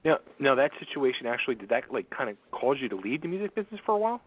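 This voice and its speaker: A man's voice: medium pitch, very animated, racing, slightly rough. A man hosting a rock radio show, asking questions and giving short eager reactions. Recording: tinny phone line.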